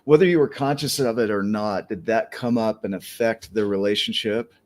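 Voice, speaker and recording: Casual lower-voiced male host. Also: normal speed. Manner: casual, reflective, with fillers and exclamations. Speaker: podcast host. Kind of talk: conversational interview.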